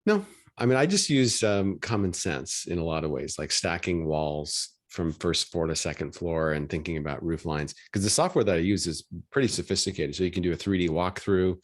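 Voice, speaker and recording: A man's voice: sits low, animated, fairly quick. A middle-aged man from Washington State telling a story in a matter-of-fact, chatty way on a video call. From Washington State, USA.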